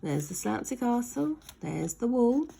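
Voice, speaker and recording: Animated, slow-paced woman reading a children's story. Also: a little high, gravelly, slightly rough. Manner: dramatic, drawn-out emphasis on key words. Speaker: teacher reading aloud.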